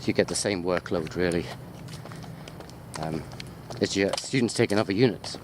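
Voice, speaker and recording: A man's voice: low-pitched, normal speed. A man chatting casually and off the cuff as a lecturer filming himself on a walk, jokey and easily sidetracked. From United Kingdom. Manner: rambling, easily distracted, jokey. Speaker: university lecturer. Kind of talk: casual unscripted walking video chat. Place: outdoors, walking through botanical gardens. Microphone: handheld wide-angle sports camera. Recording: outdoor ambient noise while walking.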